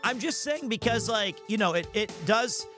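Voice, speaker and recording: A man's voice: sits high, lively intonation, moderate pace, over music. A man chatting casually on a livestream while he plays a video game. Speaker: game streamer.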